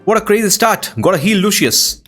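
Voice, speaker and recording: A man's voice: high voice, lively intonation, a little fast, fairly bright tone, soft background music. A man hosting a gaming video with casual playthrough commentary, reacting aloud as he plays.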